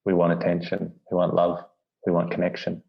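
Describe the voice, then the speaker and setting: A man speaking as a podcast guest, talking candidly and haltingly, often restarting his words. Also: low-pitched, monotone, creaky, highs cut off. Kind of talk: candid personal conversation.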